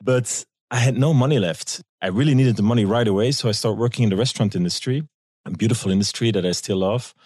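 A man's voice: lowish voice, fast talker, a little mellow, slightly rough, dry recording. A man from Belgium with a Belgian accent, telling anecdotes conversationally as an interview guest and acting out quoted dialogue. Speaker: guest, tour guide and business owner.

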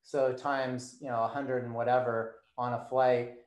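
A man's voice: medium pitch, level tone, measured pace. Man explaining theories in echoey room. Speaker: guest, performance coach. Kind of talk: casual interview explanation.

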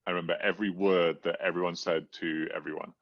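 A middle-aged man with a low voice is being interviewed and recounting personal experiences. He speaks haltingly, stammering over and repeating words as he builds each sentence. The recording is somewhat dull and muffled. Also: level tone, no echo. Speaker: interview guest. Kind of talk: personal storytelling in conversation.

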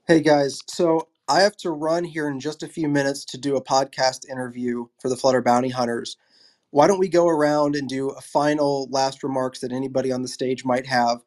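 A man's voice: mid-range voice, steady tone, brisk, darkish tone. Man hosting a casual online panel. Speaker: host.

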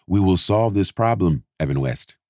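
A man voicing a thoughtful, reassuring scientist who speaks in a measured, steady way. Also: sits low, no room sound, clean studio sound. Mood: calm.

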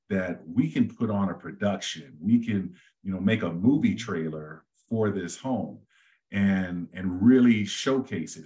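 A middle-aged man with a very low, deep voice, the kind people say belongs on radio. He talks in a relaxed, rambling way as a guest, full of fillers and restarts. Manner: easygoing, talks at length with many fillers and restarts. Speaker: real estate agent, guest. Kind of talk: casual podcast interview.

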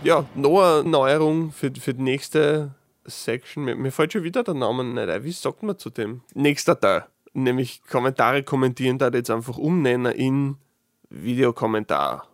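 A man's voice: medium pitch, expressive, moderate pace, no echo. A man speaking in Austrian dialect, hosting a casual solo podcast, thinking aloud with frequent restarts and dry, sarcastic asides. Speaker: podcast host.

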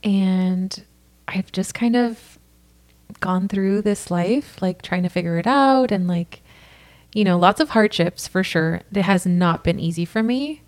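A woman's voice: mid-range voice, unhurried, darkish tone. A woman from California, a podcast guest telling her personal story in an earnest, casual way, full of "like" and "you know.".

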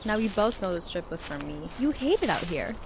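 A woman's voice: mid-range voice, big pitch swings, fairly quick, noisy background. An actress playing an affectionate, upbeat outdoorsy woman in an audio drama, talking in a casual, reassuring way. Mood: affectionate, teasing. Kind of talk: naturalistic audio drama dialogue.

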